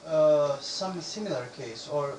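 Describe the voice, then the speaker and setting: A man from Bosnia asks his question haltingly, restarting and repeating words as he searches for them. His voice sits a little higher than most, and he sounds far from the mic in a roomy, echoing space with steady noise behind him. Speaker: visitor asking questions. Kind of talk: halting question from an audience member. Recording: echoey room, speaker far from the mic, clear background noise.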